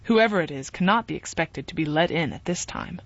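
A woman's voice: lowish voice, expressive, brisk, slightly rough, dry recording, dull audio. Vrouw speelt kalme, redelijke jongeman. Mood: calm.

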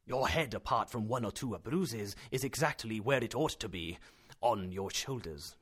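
A man voicing an affectionate older professor uncle in a storybook, with emphatic, deliberate, drawn-out phrasing. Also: lowish voice, animated, fairly bright tone, slightly rough. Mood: dry, firm.

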